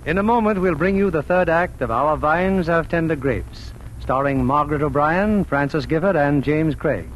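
A man's voice: on the high side, moderate pace, background noise. A man hosting and narrating a radio theatre in formal, scripted 1940s style. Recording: old radio broadcast, music behind.

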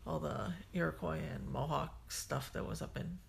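Low, gravelly-voiced woman podcast host. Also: normal speed. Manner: chatty, laughs often, trails off mid-sentence. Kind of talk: casual chat.

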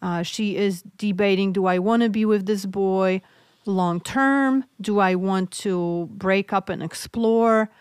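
Polish-accented woman, smooth clear voice. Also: mid-range voice, slow pace, no room sound, studio quality. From Poland. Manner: frank, joking, thinking aloud with restarts. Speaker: podcast co-host, mental health nurse practitioner. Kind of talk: casual podcast chat.

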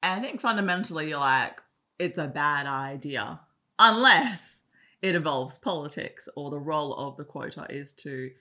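A woman co-hosting a casual discussion, chatty and quick to laugh. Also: sits low. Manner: chatty, laughs easily, lively swings in tone. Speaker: podcast co-host.

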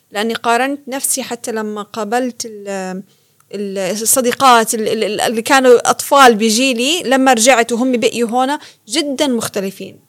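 A woman, born in Kuwait, telling her life story as an interview guest with lively laughter. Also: fairly high pitch. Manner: animated storytelling, laughs often. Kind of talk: casual interview.

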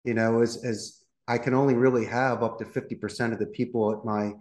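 A man's voice: mid-range voice, steady tone, a little fast, a little mellow, roomy sound. A middle-aged man from Philadelphia, a call center CEO, speaking as a podcast guest in a confident, polished way. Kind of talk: podcast interview.

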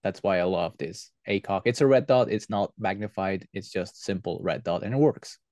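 A man's voice: low-pitched, normal speed, darkish tone, no room sound, studio quality. A man from Belgium, answering interview questions as a podcast guest in an earnest, explanatory way. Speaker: podcast guest, airsoft team leader.

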